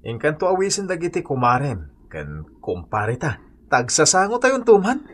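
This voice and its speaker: A man playing a cheerful, proud family-man husband in a radio comedy drama, earnest and expressive. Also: a little high, moderate pace, breathy edge, exaggerated voice.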